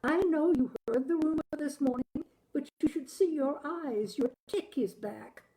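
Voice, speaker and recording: A woman's voice: high-pitched, measured pace, mellow, pitched above the performer's own voice, low-quality recording. A woman voicing a fussing, exasperated wife in a story reading, chiding her husband with insistent concern. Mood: chiding, pleading. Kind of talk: acted dialogue in story reading.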